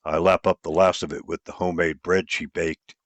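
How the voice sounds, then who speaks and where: Male audiobook narrator, lowish voice, first-person romance storytelling, close dry recording. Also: level tone, brisk, a bit bright, no echo.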